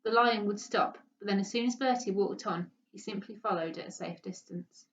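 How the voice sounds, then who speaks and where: A woman reading a children's story aloud with expressive narration. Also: medium pitch, moderate pace, slight background noise. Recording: dull, distant microphone.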